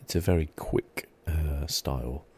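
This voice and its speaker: A soft-spoken man giving relaxed, casual ASMR commentary over a video game, musing as he plays. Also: rumbling low, a little slow, slightly rough. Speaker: gaming channel host.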